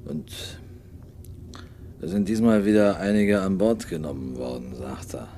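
A sly but deferential ship's hand in a 1950s radio drama. He speaks in a very deep, dark voice, circling his point haltingly. There is faint noise on the old recording. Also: animated. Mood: roundabout, confiding. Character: hesitant, deferential sailor with a sly streak.

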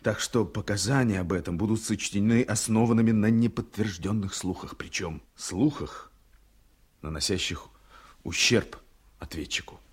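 A low, husky, principled legal assistant. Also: male voice. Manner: measured, formal, argumentative. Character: principled, reasoning younger assistant to a prosecutor. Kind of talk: Soviet radio drama acting.

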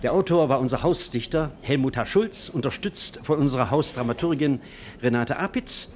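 A man's voice: on the high side, a little hiss or hum, natural voice. A man announcing a radio drama in a theatrical, scripted style.

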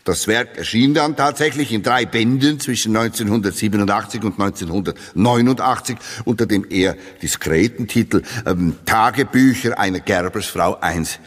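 A man's voice: fairly low pitch, moderate pace, few pauses, close to an everyday voice. A man playing a pompous literary lecturer, speaking in ornate, mock-solemn and emphatic scripted phrases. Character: pompous literary lecturer eulogizing an obscure lady diarist. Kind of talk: satirical scripted lecture with read-out quotations. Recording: long reverberant hall.

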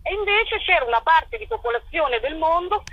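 A woman answering interview questions as a playwright and performer, in a colloquial, animated storytelling way. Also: very high-pitched, normal speed, dry recording, lo-fi sound.

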